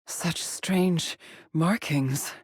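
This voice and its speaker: Game role: stranded female astronaut, haunted yet resolute, measured slow delivery, clipped formal wording, dry studio sound. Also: deep voice, breathy edge, no echo, full character voice. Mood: hushed, awed. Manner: terse, introspective, clipped formal phrasing. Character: haunted, determined female astronaut scout stranded on an alien world. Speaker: video game voice actress. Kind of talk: dramatic video game monologue and log entries.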